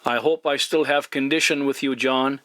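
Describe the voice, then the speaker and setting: A man working as a CB radio operator, speaking courteously and deliberately in formal radio procedure, often spelling with a phonetic alphabet. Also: mid-range voice, fairly even intonation, pushed and bright, no echo. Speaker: CB radio operator and video channel host.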